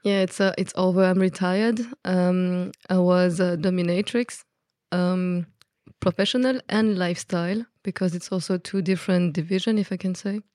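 A woman's voice: lowish voice, fairly even intonation, normal speed, darkish tone, no echo, clean studio sound. A woman from Belgium, a native French speaker with a Belgian French accent, explaining things candidly as a podcast guest in casual conversation.

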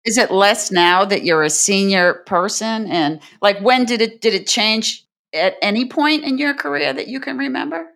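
A woman interviewing in a supportive, unhurried way, with a gravelly, creaky tone of middle pitch. She speaks in short, encouraging remarks and gentle questions. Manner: supportive, brief affirmations and questions.

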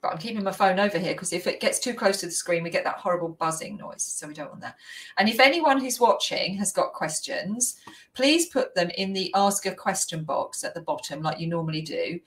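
Woman host, low voice, rambling, heard from a bit off the mic. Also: brisk, recorded from a distance. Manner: rambling, filler-heavy, apologetic. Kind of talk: hosting a live online talk.

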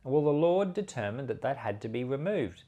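A man, a pastor, reading scripture and giving devotional commentary in a measured, emphatic delivery. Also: medium pitch, very animated, mellow.

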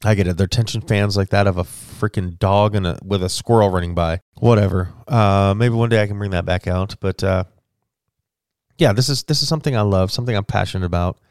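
Forties male podcast host, low smooth voice, chatty rambling delivery, dry close-miked sound. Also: a little fast, darkish tone, no echo. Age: 40s. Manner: rambling, chatty, with frequent restarts and fillers. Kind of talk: solo rambling podcast monologue.

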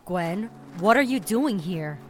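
A woman's voice: mid-range voice, lively intonation, slow, a little hiss or hum. A woman playing a vengeful siren, a menacing and protective sister, in an audio drama. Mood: defiant, troubled.

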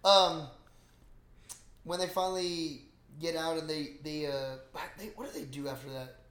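A man with a fairly high voice speaks in a very echoey room. He is a podcast host in loose, casual recap mode, full of slang and swearing, as if talking to a close buddy. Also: flat delivery, measured pace, fairly bright tone. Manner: profane, slangy bro talk. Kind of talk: casual movie recap chat.

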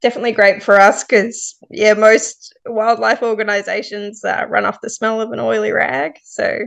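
A woman presenting a radio chat, friendly and quick to laugh. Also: a little high, little pitch movement, vocal fry, a bit bright. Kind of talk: casual radio interview.

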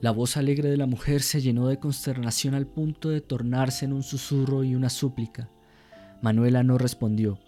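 A man narrating a literary radio drama in a measured, solemn, descriptive manner. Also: medium pitch, steady tone, mellow, soft background music.

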